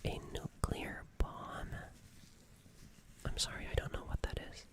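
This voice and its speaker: Whispering, gentle alien medic acted by someone. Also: close to an everyday voice. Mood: gentle, apologetic. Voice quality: breathy whisper. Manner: hesitant, halting, repeats phrases softly. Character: gentle, apologetic alien medic tending a crashed human. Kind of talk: whispered ASMR roleplay.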